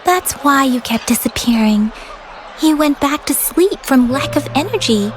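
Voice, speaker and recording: A woman playing a thoughtful, gentle young woman in a video game, explaining things in a measured, earnest way. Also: sits high, animated, music in the background, poor audio.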